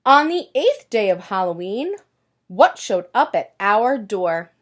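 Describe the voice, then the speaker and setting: A woman teacher reading a picture book aloud to young children in an expressive, sing-song way, pausing to ask them questions. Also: mid-range voice.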